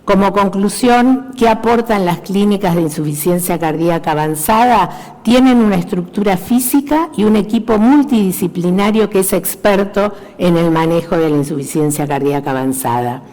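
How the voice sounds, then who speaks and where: A woman giving a measured medical conference presentation, summarizing points in an orderly list. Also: medium pitch, darkish tone. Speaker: conference speaker, cardiologist. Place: large lecture hall. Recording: reverberant hall.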